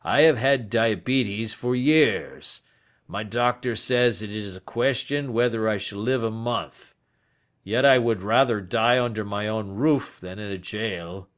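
A weary old man near death, acted by a male audiobook narrator. He is bitter and anguished, with heavy emphasis on his words. The delivery is slow and drawn out. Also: on the low side, close to an everyday voice. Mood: weary, resigned. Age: older. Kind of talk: audiobook character acting.

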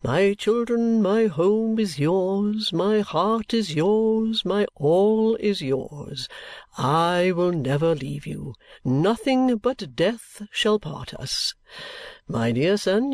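A woman voicing a pompous, self-satisfied old gentleman in an audiobook, with grand, drawn-out phrasing. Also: lowish voice, animated, no echo. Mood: solemn, grand. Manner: grandiose, ponderous, drawn-out delivery. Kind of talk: audiobook character acting.

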